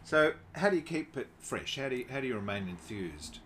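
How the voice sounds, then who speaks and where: A man's voice: medium pitch, very animated, fairly quick, a bit bright, slight background noise. A man who interviews a guest, asking questions and offering frequent encouraging, affirming interjections. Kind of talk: conversational interview.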